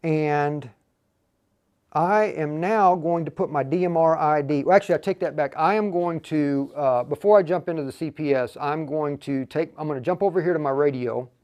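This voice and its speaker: A man's voice: fairly high pitch, normal speed, a little mellow. A man hosting an informal step-by-step tech tutorial, thinking aloud as he demonstrates. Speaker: livestream host giving a radio programming tutorial. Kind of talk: casual step-by-step software tutorial.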